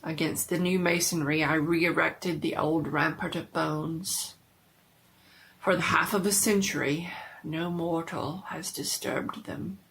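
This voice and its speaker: A woman giving a dramatic reading of a horror story, theatrical and deliberate, often dropping to a hush. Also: low voice, fairly even intonation, creaky, rough recording.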